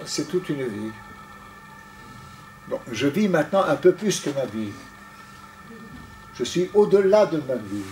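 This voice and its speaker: Older man guest speaker, french not his first language, slow deliberate delivery, faint room hum. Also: medium pitch, darkish tone, low-quality recording. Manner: halting, precise, with frequent pauses. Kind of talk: lecture to an audience.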